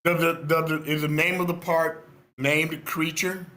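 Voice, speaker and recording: Man, slightly high voice. Casual group volunteer, playful and self-mocking. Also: level tone, moderate pace, pushed and bright. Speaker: participant volunteering for a part.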